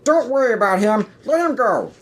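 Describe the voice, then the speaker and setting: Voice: mid-range voice, lively intonation, a little fast, pitched above the performer's own voice, close to an everyday voice. A performer voicing a bossy turtle soldier who gives curt military orders in a parody audio play. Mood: commanding.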